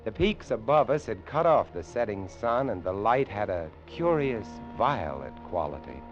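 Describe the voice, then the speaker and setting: Deep-toned dramatic expedition narrator. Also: male voice, big pitch swings. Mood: hushed, tense. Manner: dramatic, deliberate storytelling. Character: adventurer narrating a grim jungle and mountain expedition. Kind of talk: 1940s radio drama narration. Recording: dull old radio recording, music behind.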